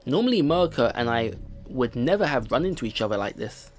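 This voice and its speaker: A man reads visual novel narration aloud as a let's player. His mid-pitched voice moves up and down in a lively way, with game music playing softly underneath. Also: brisk.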